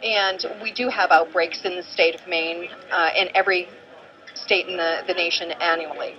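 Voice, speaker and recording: Woman, medium pitch, explanatory interview soundbite, muffled playback, faint background noise. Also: steady tone, normal speed. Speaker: emergency preparedness official interviewed for news. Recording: dull, noisy re-recorded news playback.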